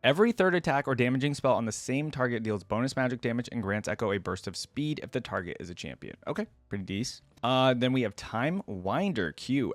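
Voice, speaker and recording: A man's voice: mid-range voice, dry recording. A man giving casual reaction commentary, informally thinking aloud as he hosts. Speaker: reaction video host.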